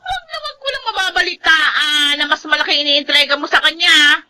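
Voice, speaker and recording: Piercing, jealous second wife; shrill, scolding radio-drama turn. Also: female voice, animated, brisk, heavily acted. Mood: accusing. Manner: shouts accusations.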